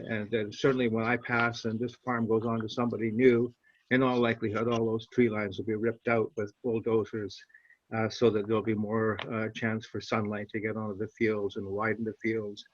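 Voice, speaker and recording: Slow-spoken older man, dull audio. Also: mid-range voice, no room sound. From Canada. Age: 70s. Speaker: poet reading own work. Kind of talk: informal introduction and measured poetry reading. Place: online video call. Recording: dull, lo-fi online call audio.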